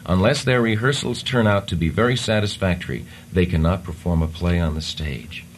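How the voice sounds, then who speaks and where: Low guy reading stiff text deadpan on old radio, faint hiss. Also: a little fast. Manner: deadpan mock-formal reading, chuckling. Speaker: comedy radio performer. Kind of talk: improvised radio comedy, reading aloud.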